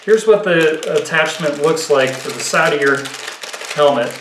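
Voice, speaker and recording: A man's voice: fairly high pitch, measured pace. A man in his thirties giving a casual, explanatory gear commentary, thinking aloud in an informal way. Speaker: gear reviewer. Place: indoors, home living room at a table. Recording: roomy echo, clear background noise.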